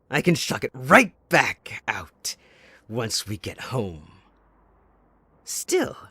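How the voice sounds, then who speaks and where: A man playing a mocking, possessive, sadistic villain in an ASMR audio roleplay, with a taunting, drawn-out theatrical delivery. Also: mid-range voice, a bit bright, breathy edge, dry recording, heavily acted.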